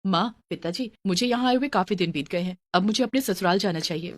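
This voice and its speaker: A woman's voice: lowish voice, fast, dry recording, natural voice. Woman doing a sweet meek young bahu in a story cartoon, earnest, close dry sound. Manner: pleading, earnest. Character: meek, sweet young daughter-in-law, poor and hopeful.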